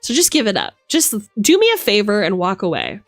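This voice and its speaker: A woman streamer talks straight into a close, dry microphone with music playing underneath. Her pitch sits in the middle for a woman. She rants casually and emphatically, with theatrical exasperation and plenty of swearing. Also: wildly swooping pitch, a little fast, dry recording. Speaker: streamer and tabletop game master. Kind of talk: casual opinionated rant to stream viewers.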